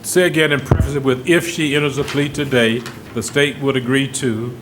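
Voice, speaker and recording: A man presides as judge at a courtroom bond hearing. He speaks at an ordinary pitch in a firm, procedural way and repeats his questions until he gets a clear answer. Faint background noise sits under his voice. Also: steady tone, fairly quick.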